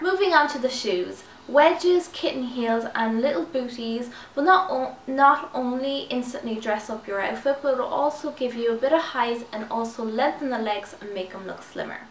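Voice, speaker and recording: A woman's voice: fairly high pitch, a little hiss or hum. A young woman in her twenties with a slight lisp from new braces, chatting upbeat fashion tips to her viewers. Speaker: fashion vlogger. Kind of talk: casual styling tips to camera. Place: small indoor room with clothes rail. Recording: echoey room, slightly distant mic.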